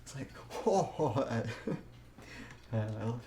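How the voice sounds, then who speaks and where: A man's voice: medium pitch, lively intonation, slow pace, mellow, a little hiss or hum. A man who streams games, chatting casually and reading aloud, often giggling as he talks. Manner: giggly, breaks into laughter while talking. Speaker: game streamer. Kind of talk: casual live stream commentary with read-aloud.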